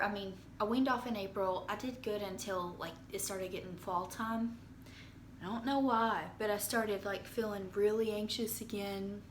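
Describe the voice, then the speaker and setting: A young woman with a hoarse voice from being sick, talking casually into her phone in a rambling personal update with many ums and restarts. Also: mid-range voice, a little hiss or hum. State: hoarse from illness. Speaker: vlogger. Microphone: phone propped up.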